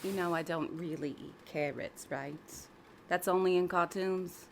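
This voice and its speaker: An actress playing a bossy, wry talking magic rabbit in an audio drama, commanding and dry in manner. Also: female voice, lowish voice, fairly even intonation, unhurried, a little hiss or hum. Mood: dry, casual.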